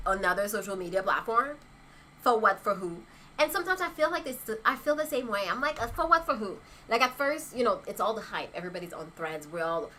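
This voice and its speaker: Chatty bright-voiced young woman livestreaming. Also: mid-range voice, slight background noise, poor audio. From Haitian heritage. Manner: chatty, laughs often, rhetorical asides. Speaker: lifestyle influencer livestreaming. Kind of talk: casual livestream chat reading comments.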